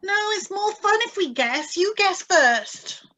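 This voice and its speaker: A woman playing an eager, stubborn and excitable wife in a radio drama reading, wide-eyed and insistent. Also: very high-pitched, slow pace, full character voice. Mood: excited, suspenseful. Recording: live video-call recording.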